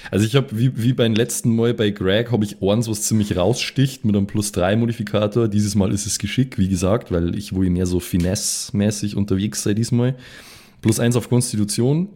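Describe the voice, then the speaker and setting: Low-voiced man chatting at game table. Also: fairly quick, mellow. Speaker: player on a tabletop role-playing podcast. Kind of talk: casual chat at a tabletop game.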